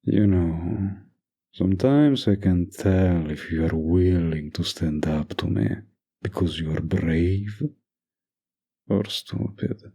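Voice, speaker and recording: Very deep, gravelly mafia boss boyfriend, acted by a man. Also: slow, dark tone, exaggerated voice. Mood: doting, teasing. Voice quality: gravelly, husky. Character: dominant young mafia boss boyfriend, menacing yet doting. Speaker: voice actor. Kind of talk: scripted romantic audio roleplay.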